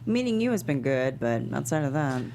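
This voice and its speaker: Low, creaky-voiced actress as proud woman talk host. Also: very animated, a little fast, dark tone, slight background noise. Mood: sincere, weary. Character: famous female talk show host, weary but warm and proud. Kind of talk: table read of a screenplay.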